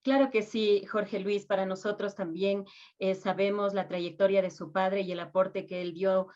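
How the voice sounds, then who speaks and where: A young woman interviews in a polite, formal but conversational way. Her medium-pitched voice comes through on a slightly dull, lo-fi recording. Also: steady tone, normal speed, a little mellow. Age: young adult. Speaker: interviewer, host. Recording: dull, lo-fi sound.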